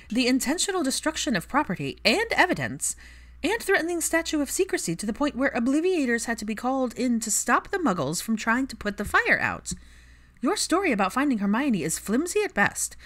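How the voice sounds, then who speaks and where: A woman voicing a brash, sharp-tongued young woman with an emphatic, sarcastic delivery in audiobook dialogue. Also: sits high, sing-song, brisk, voice pushed up, exaggerated voice. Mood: accusing.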